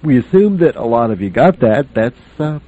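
Man, mellow dark voice, informal radio host, conversational, slightly lo-fi recording. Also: mid-range voice, very animated, moderate pace. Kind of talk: informal radio show hosting. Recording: dull lo-fi sound.

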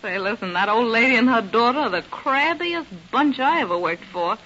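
An actress playing a gossipy, sassy maid with brisk, slangy, confiding delivery in a radio drama. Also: female voice, fairly high pitch, expressive, pushed and bright. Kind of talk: 1930s radio drama acting. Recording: hissy, muffled old radio recording.

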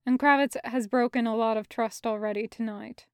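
A woman's voice: a little high, steady tone, normal speed, smooth, no echo, studio quality. A woman reading scripted fiction as the narrator of an audio story. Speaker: podfic narrator.

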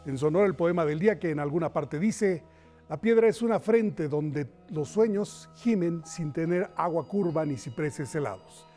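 A man's voice: on the high side, moderate pace, warm tone, soft background music. A man with a Mexican accent presenting a culture segment in a broadcast reporting style.

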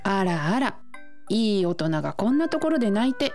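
A man's voice: high voice, big pitch swings, moderate pace, music in the background. A man voicing a sympathetic, motherly woman in a comic radio drama, with theatrical feminine phrasing. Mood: sympathetic, chiding.

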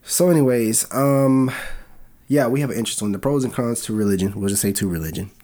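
A man co-hosting a podcast with his wife, a little raspy from a cold, talking in an earnest, loose way with many fillers. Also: medium pitch, fairly quick, mellow. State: has a cold, slightly raspy. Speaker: podcast co-host. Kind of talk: casual podcast chat.

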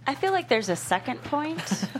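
A woman's voice: high-pitched, sing-song, normal speed. An actress reading a pushy, blunt, assertive businesswoman at a table read of a pilot script. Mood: insistent. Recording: clear background noise, room ambience.

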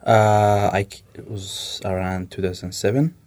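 A young man speaks in a low register with little melodic movement. He sounds like an interview guest thinking aloud, hesitating and circling back to rephrase his answers in informal English. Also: fairly even intonation, a little slow, a little mellow. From Somalia. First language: Somali. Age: 20s.

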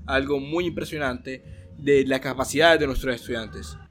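A man's voice: mid-range voice, steady tone, normal speed, soft background music. A man co-hosting a student school podcast, reading news items with casual chatty asides.

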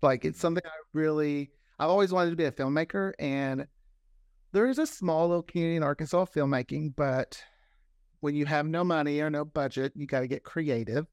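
A man with a fairly high-pitched voice talks casually in an interview. He tells stories in an animated way, slipping into quoted dialogue. The sound is dry and close, with little room on it. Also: fairly quick, clear, resonant tone, no room sound. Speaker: podcast creator guest.

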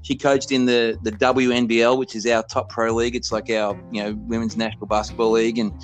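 A man's voice: medium pitch, little pitch movement, fast, faint music behind. A man in his fifties from Australia with an Australian accent, a college basketball head coach telling his story in a loose, self-correcting way during a casual interview.

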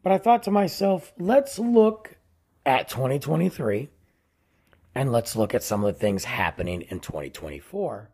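A man hosting his own podcast in a casual, rambling way, tired and losing his voice, often trailing low at the ends of phrases. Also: expressive, normal speed, dark tone, breathy edge. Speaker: podcast host. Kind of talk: casual solo podcast intro.

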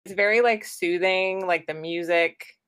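A woman talks casually on a podcast, relaxed and quick to laugh. Her voice sits a little low, and the sound is dry and close. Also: clean tone, no room sound. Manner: relaxed, laughs easily, many "like" fillers. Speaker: podcast co-host. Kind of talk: casual chat.

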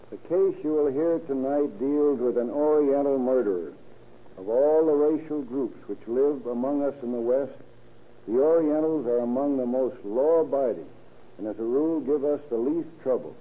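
A man giving a scripted, formal 1930s radio address as a guest official, in a measured manner. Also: mid-range voice. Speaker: county sheriff, guest speaker. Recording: tinny, faintly noisy 1930s radio.

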